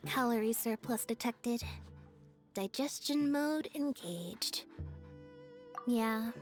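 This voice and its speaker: A reserved young stage assistant in a video game cutscene. Her voice is fairly high yet deadpan and understated, with faint music underneath. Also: takes it slowly.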